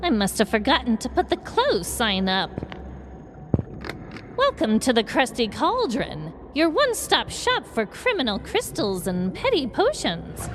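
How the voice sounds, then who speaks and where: A woman voicing a sly, ominous old witch shopkeeper in a scripted Halloween podcast skit, theatrical and drawn-out. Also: fairly high pitch, wildly swooping pitch, pitched above the performer's own voice. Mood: brisk, surprised.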